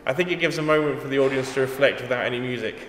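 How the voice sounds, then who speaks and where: A man's voice: medium pitch, racing. A man with an English accent, a composer talking in an interview, thoughtful and self-deprecating, often restarting his sentences. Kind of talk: casual interview. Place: echoey gallery hall. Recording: echoey hall, music behind.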